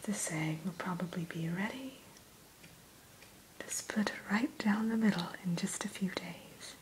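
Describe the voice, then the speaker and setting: Slow whispering woman, ASMR roleplay. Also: medium pitch, low-quality recording. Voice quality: breathy whisper. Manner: slow, gentle, courteous shopkeeper patter. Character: gentle, courteous female keeper of a dragon hatchery. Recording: steady hiss behind close whisper.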